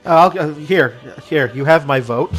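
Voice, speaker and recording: Slightly high-voiced, laughing podcast host. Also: male voice, husky, faint music behind, poor audio. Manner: laughs often, halting, hands turns to others. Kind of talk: casual panel discussion.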